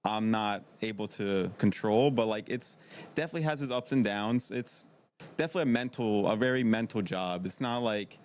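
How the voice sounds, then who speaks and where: A man's voice: fairly low pitch, normal speed, clean tone. A man in his twenties from Maryland, chatting casually as an interview guest in a rambling, repetitive way. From from Maryland, United States. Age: 20s. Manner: conversational, rambling, repeats himself. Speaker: podcast guest, middle school PE teacher. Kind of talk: casual chat. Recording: noticeable background noise.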